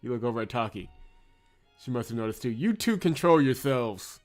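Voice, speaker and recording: A man's voice: medium pitch, animated, a little fast. A man giving casual playthrough commentary with wry, teasing asides and reading narration aloud. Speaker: let's-play host. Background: game music.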